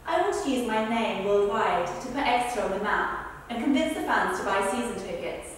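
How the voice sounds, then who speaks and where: Woman acting earnest storyteller, stage monologue, high-pitched, distant in a reverberant hall. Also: lively intonation, fairly quick, lightly acted. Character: people recounting a football club's celebrity visit. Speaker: performer. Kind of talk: verbatim theatre monologues read in rehearsal. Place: large reverberant rehearsal room. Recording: echoey hall, distant mic, faint noise.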